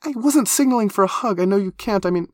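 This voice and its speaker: Man voicing an excitable, high-pitched nervous creature girl. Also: fast talker, no room sound, clean studio sound, lightly acted. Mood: relieved, nervous. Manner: gushing, flustered, stammering, emphatic. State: breathless with excitement. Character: excitable, nervous teenage girl creature with barbed-wire hair.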